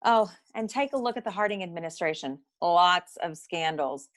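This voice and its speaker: An actress playing a practical, skeptical sleuthing partner in a comedic noir audio play, brisk and wry. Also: female voice, lowish voice, lively intonation, close to an everyday voice.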